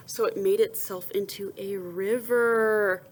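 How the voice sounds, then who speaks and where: Woman, unhurried pace, children's storybook read-aloud, expressive teacher delivery, mid pitch, faint background noise. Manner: expressive storytelling, pausing to ask questions and answer softly. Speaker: teacher reading a picture book aloud to young children.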